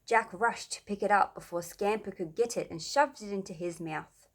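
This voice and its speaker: Woman, storybook reader, children's narration, medium pitch. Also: normal speed.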